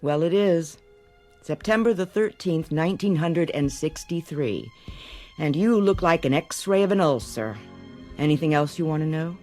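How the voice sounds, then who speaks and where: An actress playing a world-weary landlady in an audio drama, blunt and dry, scolding but with a soft spot underneath. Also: deep voice, animated, normal speed, faint music behind. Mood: dry, impatient.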